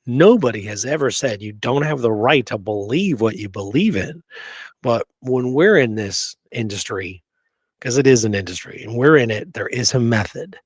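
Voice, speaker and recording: A man's voice: mid-range voice, lively intonation, normal speed, slightly rough, no echo, clean studio sound. A man co-hosting a casual discussion, speaking emphatically and often checking in with short rhetorical questions. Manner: emphatic, rhetorical check-ins like "right?" and "okay?". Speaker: podcast co-host.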